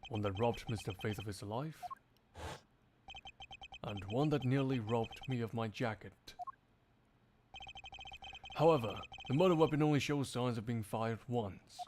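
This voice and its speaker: A man voicing a composed, analytical male prosecutor with a formal, deliberate manner in a game fandub. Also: medium pitch, background noise, close to an everyday voice.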